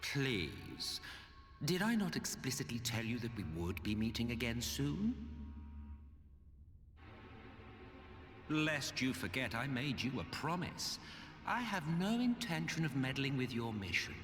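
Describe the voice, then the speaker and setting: An actor playing a condescending, weary immortal villain in a video game, with pompous, sardonic, drawling delivery. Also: male voice, medium pitch, big pitch swings, normal speed, a bit bright. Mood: smug. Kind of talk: dramatic video game voice acting. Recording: long hall reverb, music behind.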